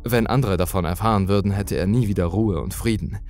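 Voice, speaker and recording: Guy with a lowish voice reading a creepypasta, scripted, bit of music behind him. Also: brisk, a little mellow. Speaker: horror story narrator. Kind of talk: scripted first-person creepypasta narration.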